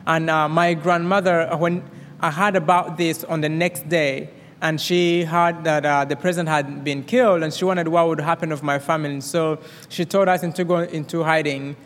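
A young man from Rwanda with a Rwandan accent, giving his personal testimony at a church service in a quick, excited way with many restarts. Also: fairly high pitch. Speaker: guest speaker. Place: church hall. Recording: long reverb of a hall.

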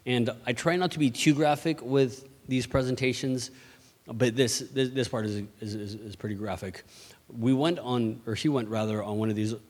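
A man from Mexico gives an earnest church missions presentation, often restarting and repeating words as he goes. His voice sits at a middling pitch and carries a long reverb, as if it is filling a large hall. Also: moderate pace, a little mellow. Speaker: missions ministry leader.

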